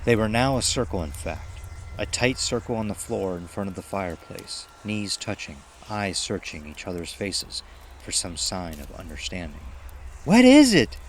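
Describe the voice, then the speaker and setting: A man's voice: sits low, normal speed, fairly bright tone, a little hiss or hum. A man reading a horror story aloud as a dramatic, suspenseful narrator.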